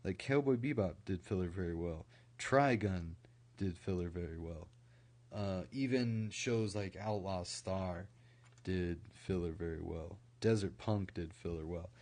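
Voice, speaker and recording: A young man from Idaho, rambling casually on a late-night stream, full of "like" fillers, his voice a little scratchy from a recent cold and kept quieter than usual. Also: low-pitched, unhurried. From Idaho, USA. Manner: rambling, many "like" fillers and restarts. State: slightly scratchy from recent illness.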